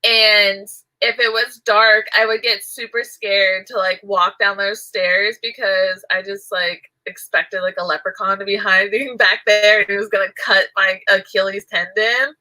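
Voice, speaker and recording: A woman's voice: mid-range voice, steady tone, unhurried, few pauses, sharp tone, rough recording. A woman chatting casually while she crochets, rambling and giggling, her nose stuffy and nasal from allergies. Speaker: crochet vlogger.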